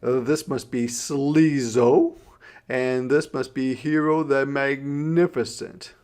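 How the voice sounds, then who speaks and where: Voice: medium pitch, steady tone, takes it slowly, darkish tone. A teacher reading a children's story aloud, explaining simply and putting questions to the listener.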